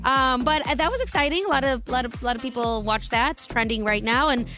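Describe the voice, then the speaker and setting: A woman's voice: high-pitched, a little fast, music in the background. A woman co-hosting a morning radio show, reading trending entertainment news and chatting about it. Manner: chatty, reads headlines then riffs.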